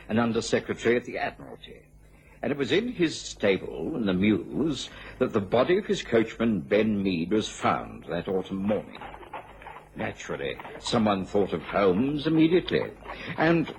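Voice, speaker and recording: An actor with an English accent playing a genial gentleman companion who narrates a radio drama in a measured storytelling manner. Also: lowish voice, poor audio.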